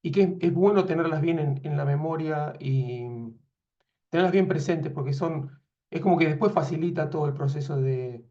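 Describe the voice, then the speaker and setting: A man with an Argentine accent lectures on veterinary imaging over a video call, slightly high-pitched but dark and mellow in tone. He keeps pausing and restarting. The audio is dull and lo-fi. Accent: Argentine Spanish. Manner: hesitant, many fillers and restarts. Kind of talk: webinar lecture.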